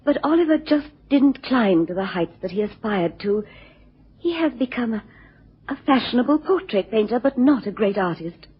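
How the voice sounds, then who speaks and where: Frightened genteel wife, high and very husky, hesitant, muffled radio-play sound. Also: female voice, expressive, normal speed. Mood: troubled, wistful. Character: distressed, frightened, genteel wife seeking help. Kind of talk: radio drama acting.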